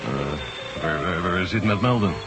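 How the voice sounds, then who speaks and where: Calm, authoritative marshal. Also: male voice, deep voice, a little slow, loud music behind the voice. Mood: measured. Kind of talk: 1980s radio play acting.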